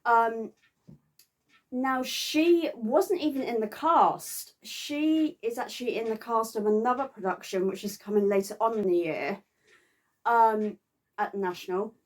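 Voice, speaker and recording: A woman speaks in a high voice at a slow, unhurried pace. She chats informally to her viewers like a vlogger, with a halting delivery that now and then stumbles over a word. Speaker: vlogger reviewing a theatre visit. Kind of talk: casual unscripted chat to viewers.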